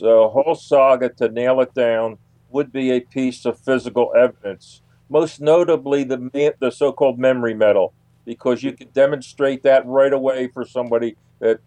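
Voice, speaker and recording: An older man from the United States answers as a guest on a talk show. His voice sits at a medium pitch. He speaks off the cuff in a hesitant, halting way, with a dry sound free of room echo. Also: mellow. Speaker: interview guest, UFO investigator. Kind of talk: unscripted interview talk.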